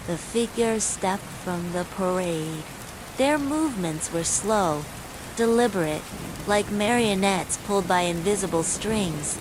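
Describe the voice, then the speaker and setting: A woman reading a scripted bedtime horror story in a measured, suspenseful way, as a storyteller. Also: medium pitch. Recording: steady loud rain noise throughout. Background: falling rain.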